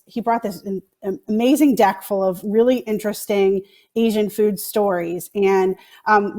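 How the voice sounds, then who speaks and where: A woman's voice: medium pitch, a little mellow. A woman speaking conversationally as a producer answering on a panel.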